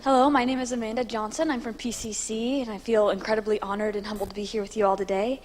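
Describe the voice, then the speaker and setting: A young woman giving a confident student research pitch into a handheld mic. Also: level tone, fast, a little hiss or hum. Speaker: student presenter. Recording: long hall reverb.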